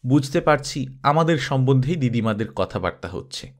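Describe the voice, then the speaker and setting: A man's voice: medium pitch, lively intonation, moderate pace. Man narrating a story expressively.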